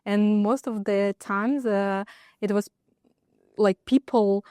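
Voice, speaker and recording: A woman with a Ukrainian accent speaking on a roundtable panel, halting and restarting her sentences. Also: mid-range voice, steady tone, dark tone, smooth, no echo, studio quality. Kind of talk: roundtable discussion.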